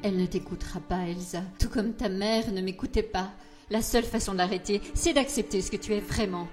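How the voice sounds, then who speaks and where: A woman playing a scheming, taunting sorceress in a French fandub of a fantasy drama, speaking in a deliberate, theatrical way. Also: medium pitch, lightly acted. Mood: cold, persuasive. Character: scheming, taunting villainess sorceress, older aunt figure. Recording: loud music under the voice.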